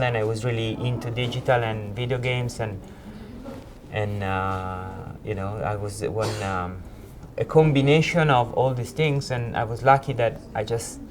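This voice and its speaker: A man in his forties from Italy with an Italian accent, a creative director recounting his career in an informal interview in hesitant, halting phrases. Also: medium pitch, slight background noise. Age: 40s. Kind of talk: informal interview, telling own career story.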